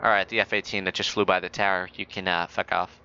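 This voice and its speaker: A man's voice: low voice, flat delivery, fast, sharp tone, muffled recording. A man acting as an air traffic controller in a flight game, talking in mock-professional radio phrases with dry, sarcastic authority.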